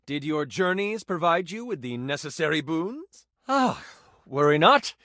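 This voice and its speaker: A man's voice: a little high, sing-song, unhurried, pushed and bright, no room sound, studio quality, exaggerated voice. An actor voicing a personified gaming die as a nerdy, earnest, awkward man who stammers and gets theatrical, in video game style. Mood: excited, heroic. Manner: hesitant, stammering, effusive, theatrical gamer talk.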